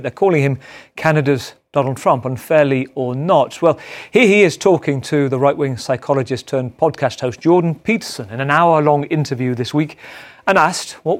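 Man, news panel host, brisk, conversational, slightly high voice. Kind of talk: hosting a panel discussion.

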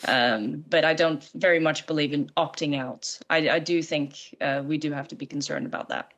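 Low-voiced woman screenwriter speaking earnestly. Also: steady tone, moderate pace, a bit bright. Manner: earnest, emphatic, occasional stammering restarts. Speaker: screenwriter guest. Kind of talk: opinionated discussion.

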